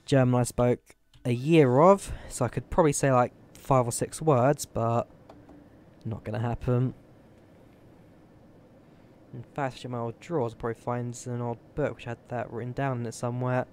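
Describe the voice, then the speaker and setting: A man's voice: mid-range voice, fairly even intonation, moderate pace, pauses often, darkish tone, a little hiss or hum. A young man from the UK, chatting casually and rambling to viewers while he streams a flight simulator. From United Kingdom. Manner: rambling, chatty, thinking aloud while fiddling with settings. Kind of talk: casual live-stream chat.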